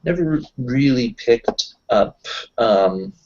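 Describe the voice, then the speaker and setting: A man's voice: mid-range voice, animated, takes it slowly, darkish tone, muffled recording. A man chatting casually as a player in a tabletop roleplaying group, explaining things in a discursive way. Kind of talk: casual in-character group chat.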